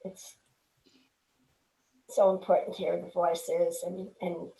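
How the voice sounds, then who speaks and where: A woman's voice: lowish voice, little pitch movement, takes it slowly, gravelly, dark tone. A woman chatting casually as a participant in a group video call. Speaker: event participant. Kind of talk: casual chat. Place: echoey room on a video call. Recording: roomy, echoing video call audio.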